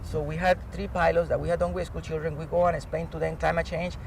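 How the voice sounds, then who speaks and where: A man with a Colombian accent and native Spanish talks as an expert guest, earnest and given to storytelling. His voice sits fairly high and has a strong creak. He is recorded outdoors, a little off the mic, with clear traffic noise behind him. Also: level tone, fast talker, far from the mic, low-quality recording. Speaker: professor and climate change expert, interview guest. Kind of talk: animated explanatory interview talk.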